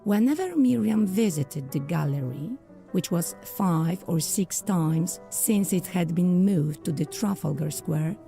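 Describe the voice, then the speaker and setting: A woman narrating a scripted period audio drama in a literary, measured storytelling manner. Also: fairly low pitch, expressive, gravelly, mellow, soft background music.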